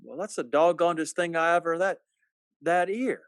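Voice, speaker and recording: A man playing a despairing, sardonic small-town family man in a radio play, with a halting, folksy, skeptical drawl. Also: a little high, close to an everyday voice. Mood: bewildered, sardonic. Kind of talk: 1940s-style radio play acting.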